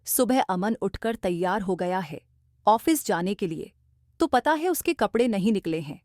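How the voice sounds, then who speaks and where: A woman tells a scripted cartoon bedtime story as its narrator. Her delivery is very lively in pitch, and the voice-over sounds close and dry. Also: moderate pace, no echo.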